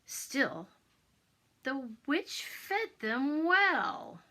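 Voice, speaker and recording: A woman narrating a children's bedtime story in a theatrical, expressive manner, as a storyteller. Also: high voice, extremely slow. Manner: theatrical, expressive, with exclamations and asides.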